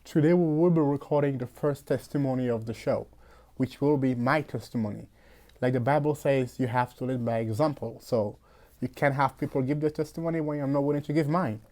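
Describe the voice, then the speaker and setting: A man's voice: medium pitch, darkish tone. Earnest man telling his story. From Cameroon. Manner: earnest storytelling, halting with fillers and restarts. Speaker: host. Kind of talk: personal faith testimony.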